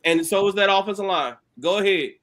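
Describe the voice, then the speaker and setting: A man in casual sports debate on a podcast, animated and slangy, cursing and laughing as he argues. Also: sits high, fairly quick, heavy background noise. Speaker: podcast panelist.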